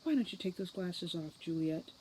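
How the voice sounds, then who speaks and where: Lady reader as fairy cop captain, brisk, calm and bossy but coaxing, storybook fantasy. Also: fairly low pitch, a little hiss or hum, natural voice, poor audio. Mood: coaxing, firm. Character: brisk, composed female fairy police captain. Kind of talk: audiobook children's fantasy character voice.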